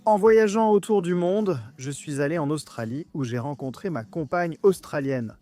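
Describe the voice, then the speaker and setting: Dark-toned Colombian man, earnest. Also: on the high side, moderate pace, soft background music. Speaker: interviewee. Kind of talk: dubbed interview testimony.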